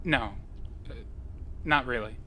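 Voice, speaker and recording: A man's voice: mid-range voice, slow, sharp tone, slight background noise. A young man playing an earnest, wounded teenage outcast boy in an audio drama. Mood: guarded. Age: young adult.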